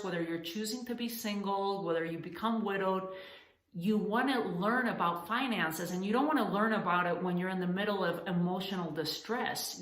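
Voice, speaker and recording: A woman's voice: medium pitch, a bit bright. A woman from Spain, founder of a coaching channel, giving earnest, encouraging money advice straight to camera, often restarting her sentences. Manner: earnest, encouraging, addresses women as ladies; halting restarts and fillers. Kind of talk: direct-to-camera advice talk. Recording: echoey room.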